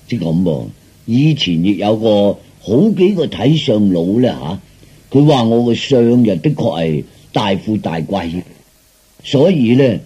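A henpecked old Cantonese uncle from a comic radio play. His voice is deep and husky, with a mellow dullness. He sighs and hesitates, feeling sorry for himself while clinging to grand dreams. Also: big pitch swings, lo-fi sound, heavily acted. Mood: rueful, relieved. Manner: sighing, hesitant, slow and self-pitying.

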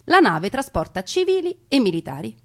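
A woman narrating a scripted stage story in a theatrical, deliberate way with conversational asides. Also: fairly high pitch, big pitch swings, fairly bright tone. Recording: music behind a radio theatre performance.

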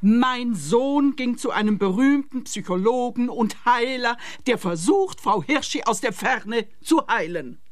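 A woman's voice: a little high, expressive, a little slow, hardly any pauses, no echo, studio quality. An actress playing a dismissive, scoffing mother of a grown son in a comic radio play, emphatic and indignant.

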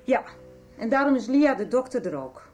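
A woman's voice: a little high, very animated, moderate pace, warm tone, faint music behind. An actress playing a serious mother of teenagers, firm yet appealing, in a Dutch radio soap. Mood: firm, urgent.

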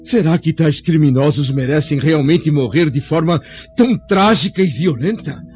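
A man voicing a troubled Roman senator who speaks gravely in a radio play. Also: a little high, normal speed, a little mellow, lightly acted. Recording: dull audio with music behind.

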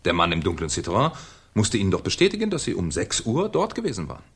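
Deep-voiced, sardonic police commissioner. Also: male voice, huge pitch swings, fast talker, a bit bright, husky, heavily acted. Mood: sardonic, needling. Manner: ironic, needling, theatrical. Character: sardonic, shrewd male French police commissioner. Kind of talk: 1970s German radio crime drama acting.

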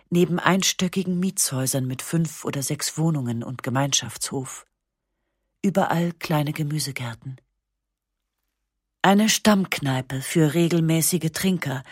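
A woman narrating a literary text for radio in a calm, measured, reflective voice. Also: sits low, slightly rough, dry recording, studio quality. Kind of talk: scripted literary reading for radio. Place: dry studio. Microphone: close studio mic.

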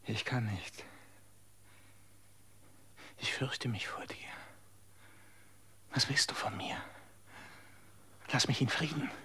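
An actor playing a grieving, frightened young man who whispers and then breaks into anguished shouts in a radio drama. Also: medium pitch, slow, long pauses, husky, heavily acted. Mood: fearful.

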